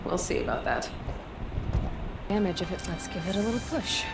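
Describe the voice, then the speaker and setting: A woman's voice: lowish voice, sing-song, normal speed, creaky, background noise. A young woman playing a determined, self-reliant huntress heroine in a video game, speaking in a terse, matter-of-fact way. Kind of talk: dramatic video game dialogue.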